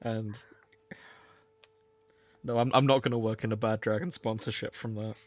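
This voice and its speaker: A man's voice: on the low side, level tone, normal speed, dry recording. A man hosting a comedy podcast, chatting in a jokey, sweary way. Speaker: podcast host. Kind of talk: bantering comedy podcast chat.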